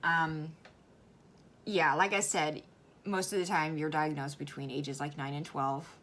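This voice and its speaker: A woman vlogger talking casually and earnestly, her voice slightly hoarse, often repeating words and laughing softly. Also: low-pitched, fairly even intonation, unhurried. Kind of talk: casual educational vlog.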